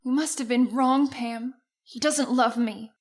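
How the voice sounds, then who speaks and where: A woman's voice: a little high, fairly even intonation, a little slow, natural voice. An actress playing a fragile, distraught, girlish younger sister in an old-time radio melodrama, plaintive and wounded. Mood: despairing.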